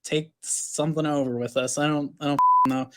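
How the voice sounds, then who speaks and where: A man chatting casually in a loose, halting, slangy way on a distant, quiet recorded call. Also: a little high, steady tone. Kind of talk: casual chat.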